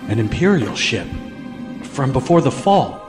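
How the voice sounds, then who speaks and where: A man playing an excitable, exuberant starship adventurer in a science fiction audio drama. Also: mid-range voice, unhurried, over music. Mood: awed.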